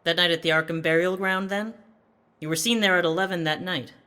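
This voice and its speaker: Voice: medium pitch, fairly even intonation, fairly quick, pushed and bright, clear, resonant tone, close to an everyday voice. An actor in a horror radio drama playing a sceptical investigator, formally pressing a witness with questions.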